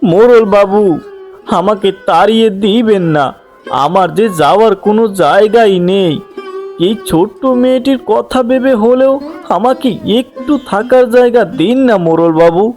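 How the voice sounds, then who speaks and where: Man acting a high-pitched, plaintive village father. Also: moderate pace, a little mellow, close to an everyday voice. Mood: pleading. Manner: pleading, plaintive. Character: poor, anxious village father pleading for shelter. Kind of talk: cartoon folk tale dialogue. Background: background music.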